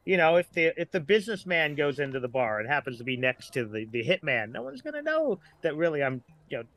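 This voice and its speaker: A man's voice: on the high side, very animated, fairly quick, a bit bright. A middle-aged man talking casually as a writer guest on a podcast.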